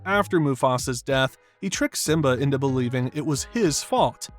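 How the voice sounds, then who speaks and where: A man's voice: medium pitch, animated, normal speed. A man delivering scripted narration for an analytical video essay. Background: background music.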